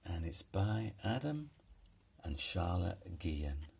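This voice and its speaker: Male storybook narrator: low, gravelly voice, unhurried and dramatic delivery. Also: sing-song, a little mellow. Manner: dramatic, deliberate. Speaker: storybook reader. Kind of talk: expressive read-aloud children's narration.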